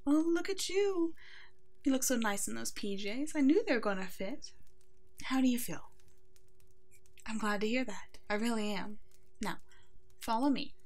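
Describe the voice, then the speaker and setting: Woman acting a gentle, motherly werewolf alpha. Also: a little high, lively intonation, normal speed, pauses often. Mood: warm, encouraging. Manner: gentle, nurturing, soft-spoken, often slipping into whispers. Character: kind, protective female werewolf alpha, motherly rescuer. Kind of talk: ASMR roleplay acting, tender and soothing.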